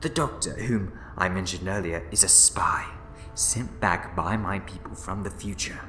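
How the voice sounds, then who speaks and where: A man playing a scheming, bitter renegade Time Lord in a sci-fi audio drama, speaking in theatrical, condescending, deliberate speeches. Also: low voice, reverberant, music in the background. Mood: bitter, urgent.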